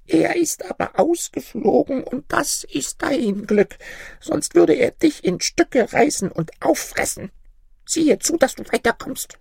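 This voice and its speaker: Man doing an ancient granny in high falsetto. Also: lively intonation, measured pace, vocal fry, darkish tone, dry recording, clean studio sound, heavily acted. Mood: warning. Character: ancient, kindly, crafty little old woman. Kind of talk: fairy-tale storybook reading.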